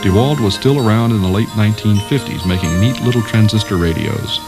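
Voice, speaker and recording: A man's voice: lowish voice, a little fast. A man reading scripted documentary narration. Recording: music under the voice. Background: music.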